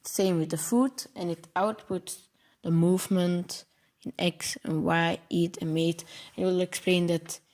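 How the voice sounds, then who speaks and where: A man's voice: high voice, fairly even intonation, unhurried, darkish tone. A young man from Belgium, a native Dutch speaker with a Belgian Dutch accent, explaining his coding project over slides and stumbling over long words. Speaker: programming channel host.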